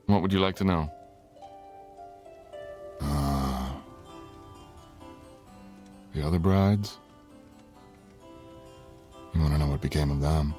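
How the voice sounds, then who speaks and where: A man's voice: a bass voice, slow, pauses often, mellow. A man playing a courtly, teasing, tender dragon king in human form, speaking intimately as a lover in an ASMR roleplay. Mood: earnest, guarded. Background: music.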